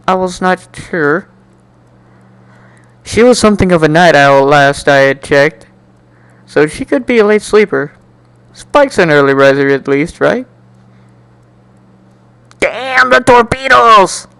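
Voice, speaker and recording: A man doing an amateur dramatic reading of a first-person story in an animated, jokey way, now and then stumbling and repeating words. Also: on the high side, vocal fry, clean tone, dry recording. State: coughing, slightly sick. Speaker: amateur fan fiction reader.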